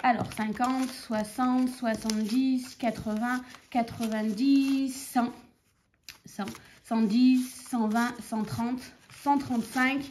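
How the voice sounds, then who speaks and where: Chatty woman vlogger in her 30s, stuffy-nosed from a cold, bit of a higher voice, quiet hiss behind. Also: steady tone, takes it slowly. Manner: chatty, rambling, counts money aloud. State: has a cold, stuffy nose. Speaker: budgeting vlogger. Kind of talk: casual chat to camera.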